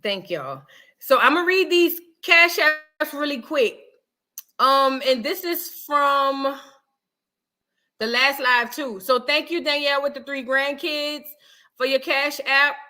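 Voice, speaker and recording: Bright New Orleans woman hosting a livestream. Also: high-pitched, takes it slowly. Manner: warmly thanks viewers, calls them baby. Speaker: livestream host. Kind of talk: casual livestream chat.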